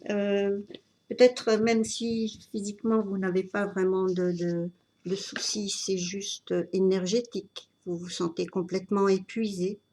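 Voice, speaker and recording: A woman with a cold giving an unscripted tarot reading, talking in a halting way with many fillers and restarts. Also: medium pitch, little pitch movement, a little mellow, a little hiss or hum. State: has a cold. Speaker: tarot reader.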